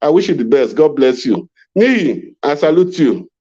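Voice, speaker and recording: A man's voice: a little high, big pitch swings, moderate pace, dark tone, husky. A man calling in to a political argument, insistent and repeating his words. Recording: dull lo-fi line.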